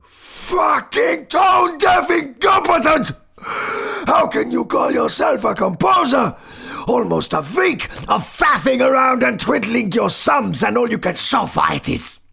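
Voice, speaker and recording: A man's voice: on the high side, wildly swooping pitch, extremely slow, creaky, long pauses, slightly rough, voice pushed up, heavily acted. A man voicing a volatile, furious older male professor who rants and curses at the top of his voice. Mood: enraged. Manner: ranting, cursing, shouting.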